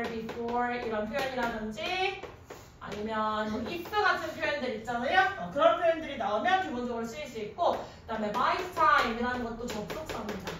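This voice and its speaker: Woman teacher, very high voice, heavily echoing classroom, distant mic, informal, question-driven lesson delivery. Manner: animated, quizzing, informal, often prompting with questions. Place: indoor classroom at a chalkboard. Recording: echoey classroom from a distance, faint noise.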